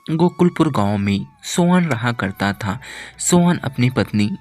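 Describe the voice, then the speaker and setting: Mid-pitched man narrating a folk tale. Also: animated, unhurried, darkish tone, soft background music. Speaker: story narrator. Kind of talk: scripted moral-story narration.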